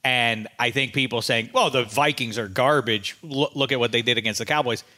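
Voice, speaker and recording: An American man in casual sports betting banter, talking in an animated, rambling, teasing way. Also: mid-range voice, fairly quick. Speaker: sports talk show panelist.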